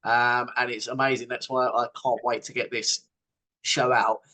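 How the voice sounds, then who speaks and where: A man's voice: medium pitch, level tone, moderate pace, pushed and bright, poor audio. Enthusiastic podcast host, man. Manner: enthusiastic, encouraging. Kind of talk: interview hosting.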